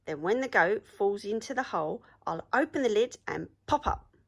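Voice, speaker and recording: A woman's voice: mid-range voice, lively intonation, normal speed, creaky, slightly rough. A woman voicing a grumpy male troll in a children's storybook, emphatic and hungry for goat. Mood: pleased. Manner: bellowing, emphatic.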